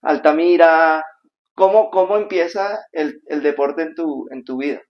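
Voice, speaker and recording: A man's voice: fairly high pitch, measured pace, lo-fi sound. A man hosting a casual interview in a friend's house, prompting his guest with short, friendly interjections. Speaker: podcast host.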